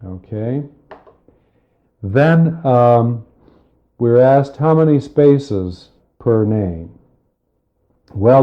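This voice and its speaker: Guy w a lowish voice walking u thru computer steps, methodical, pauses a bit, dull old cassette sound. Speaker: software tutorial instructor. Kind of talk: spoken step-by-step computer lesson.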